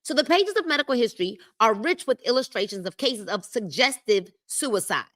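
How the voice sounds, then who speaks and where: A woman's voice: fairly high pitch, animated, brisk, fairly bright tone. A woman hosting a live-streamed book club, reading a self-help book aloud and breaking in with emphatic commentary. She repeats key phrases and speaks directly to her viewers in the chat. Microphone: livestream mic.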